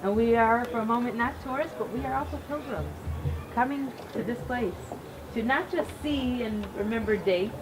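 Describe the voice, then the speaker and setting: A woman's voice: a little high, noisy background. A woman from Cleveland, Ohio, with an American accent, giving an earnest guided talk outdoors as a congregation's rabbi. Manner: earnest, explanatory, with fillers and pauses. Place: outdoors at an archaeological site.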